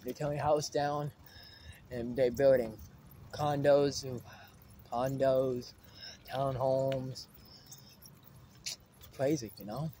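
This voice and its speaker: A young American man rambling casually in a vlog, halting and repeating himself, recorded on a phone at arm's length outdoors. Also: medium pitch, little pitch movement, mellow. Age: young adult. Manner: repetitive, halting, trailing off. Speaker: daily vlogger. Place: outdoors on a bike by streets. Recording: very noisy outdoor recording, wind and vehicles.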